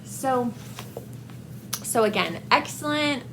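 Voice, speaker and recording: A woman chatting casually about books as a vlogger, in a hesitant, rambling, halting way. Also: on the high side, lively intonation, background noise. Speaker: book vlogger. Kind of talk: casual book review chat to camera.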